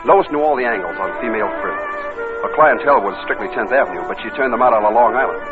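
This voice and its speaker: A tinny old radio crime drama with music underneath. An actor plays a tough male gangster telling his story at a brisk clip, slangy, menacing and hard-boiled. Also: mid-range voice, animated, close to an everyday voice. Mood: hard-boiled narrating. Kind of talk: 1940s radio noir acting. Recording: band-limited old radio recording with music.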